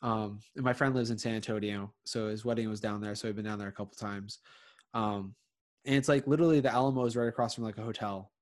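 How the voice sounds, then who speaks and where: A man's voice: on the low side, steady tone, fast. Rambling man from Connecticut, chatting. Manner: rambling, lots of fillers and restarts. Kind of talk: casual chat.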